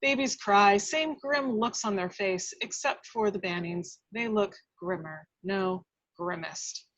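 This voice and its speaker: A woman's voice: mid-range voice, takes it slowly, a bit bright, highs cut off. A woman reading stage directions as the narrator of a script table read, in a dramatic storytelling style.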